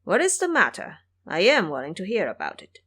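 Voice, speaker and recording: A woman's voice: fairly low pitch, huge pitch swings, a little fast, a bit bright, slightly rough, exaggerated voice. A woman voicing a dignified, formal male immortal lord who is courteous but stern, in an audio drama.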